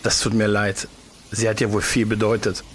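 An actor playing an anxious, sympathetic companion in a German audio drama. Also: male voice, on the low side, completely flat delivery, moderate pace, a little hiss or hum, natural voice. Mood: concerned. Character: anxious, sympathetic male companion, ready to act.

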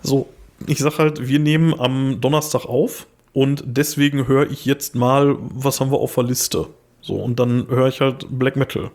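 A young man with a slightly hoarse voice, talking casually as a podcast co-host. He rambles, restarts his sentences and fills the gaps with "ähm". His pitch sits in the middle for a man. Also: fairly even intonation, moderate pace, darkish tone. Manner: rambling, many fillers and restarts. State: a little hoarse. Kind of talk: casual chat.

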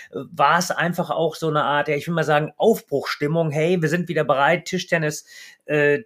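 A man co-hosts a podcast in a voice pitched a little above the usual for a man. He chats with enthusiasm, stringing his thoughts together with plenty of small hesitation sounds. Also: a bit bright. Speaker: podcast co-host. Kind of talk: podcast conversation.

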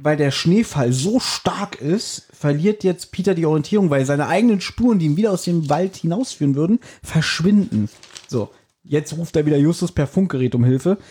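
A man's voice: a little high, expressive, normal speed, warm tone, slight background noise. A man co-hosting a podcast, casually retelling and discussing an audio drama. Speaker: podcast co-host.

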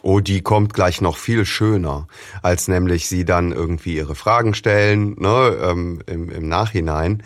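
A man's voice: low voice, unhurried. A man co-hosting a podcast, chatting casually about a radio drama, who laughs often and retells scenes. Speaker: podcast co-host.

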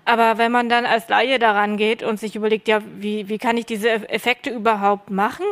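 A woman's voice: fairly high pitch, flat delivery, fairly quick. A woman who took part in the workshop, talking on a panel, reflective and searching for words. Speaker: workshop participant. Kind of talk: panel discussion. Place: stage at a festival panel.

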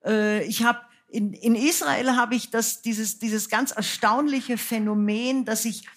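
A woman's voice: a little high, measured pace. A woman raised in the United States, a singer and author, talking frankly and wryly with many fillers as a guest on a stage before an audience. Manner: lively, frank, self-mocking, many fillers. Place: cultural centre stage with audience.

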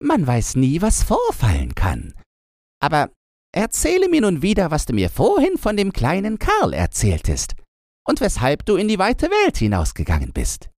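Storybook part: a fierce wild robber girl, bossy and teasing, high and squeaky, as a male reader puts her on. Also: huge pitch swings, moderate pace, a little mellow, no echo, studio quality.

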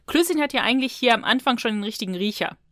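A woman's voice: on the high side, expressive, fast talker, fairly bright tone, no room sound, studio quality. A woman co-hosting a podcast, chatting casually and retelling things in a colloquial, rambling way. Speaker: podcast co-host. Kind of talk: casual podcast chat, reviewing audio dramas.

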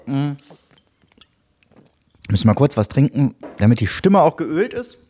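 A man hosting a parish podcast, talking in a chatty, relaxed way with friendly asides. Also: mid-range voice, a little slow, breathy edge. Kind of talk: casual podcast interview.

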